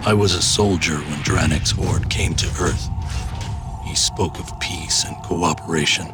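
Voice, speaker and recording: An actor playing a bitter, haunted, vengeful ex-soldier turned cyborg racer, narrating a video game story in a grim, brooding, deliberate way. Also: male voice, low-pitched, over music.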